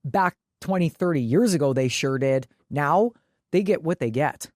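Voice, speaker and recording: A woman's voice: deep voice, animated, normal speed, darkish tone, dry recording. Opinionated woman talking sports, close dry sound. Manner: opinionated, emphatic; freely profane. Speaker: podcast co-host. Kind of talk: casual sports chat.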